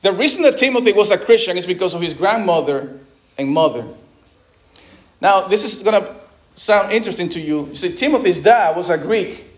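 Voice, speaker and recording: A middle-aged pastor from the Dominican Republic preaching a sermon in a conversational way, with asides and frequent restarts. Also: male voice, high voice, lively intonation, brisk.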